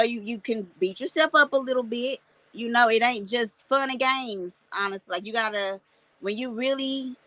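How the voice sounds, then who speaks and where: A woman in her thirties from North Carolina with a squeaky voice, chatting casually as an interview guest, laughing often and using lots of fillers. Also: pushed and bright, dry recording, low-quality recording. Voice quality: self-described squeaky voice. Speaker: guest artist.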